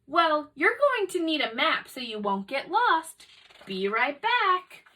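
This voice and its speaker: A woman's voice: high-pitched, big pitch swings, takes it slowly, sharp tone, pitched above the performer's own voice, full character voice. A woman voicing a confident, bossy female sheep who is eager to help, in a children's storybook. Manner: self-assured, eager to help. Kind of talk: children's storybook read-aloud.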